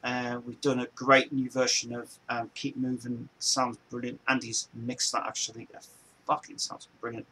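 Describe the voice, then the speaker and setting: A man's voice: mid-range voice, flat delivery, normal speed, bright, forceful tone, a little hiss or hum, rough recording. An Englishman in his forties hosting a casual chat show from home, talking in a loose, meandering way that circles back and repeats itself. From England. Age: 40s. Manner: loose, meandering, repeats himself and restarts often. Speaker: show host.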